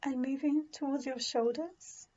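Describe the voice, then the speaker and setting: Soft mellow woman guiding meditation. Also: high voice, steady tone, muffled recording. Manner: gentle, soothing, unhurried with pauses. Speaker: meditation guide. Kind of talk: guided body scan meditation.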